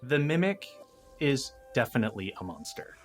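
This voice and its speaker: A man in a casual podcast discussion, stopping and restarting as he thinks out loud, with animated asides. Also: mid-range voice, moderate pace, faint music behind. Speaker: podcast guest.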